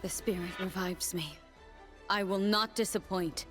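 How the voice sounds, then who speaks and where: An actress plays a young woman warrior at the head of her people, in a video game. She speaks with grave, deliberate resolve, in a medium-pitched voice. Music sits behind her words. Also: a bit bright, natural voice. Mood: resolute. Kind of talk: dramatic video game character voice lines.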